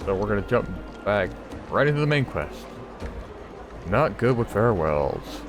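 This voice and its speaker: Low-voiced man doing casual gaming commentary. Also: very animated, normal speed. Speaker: gamer commentating on a playthrough. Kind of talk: casual let's play commentary. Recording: game music behind the voice.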